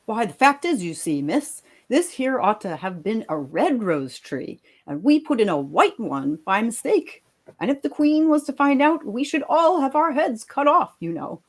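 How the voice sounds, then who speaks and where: A woman plays an anxious storybook gardener, humble and rustic. The voice is high and swoops about in an apologetic, deferential way. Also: sing-song, normal speed. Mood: nervous, deferential. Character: anxious, deferential working-class male gardener. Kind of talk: storybook live read.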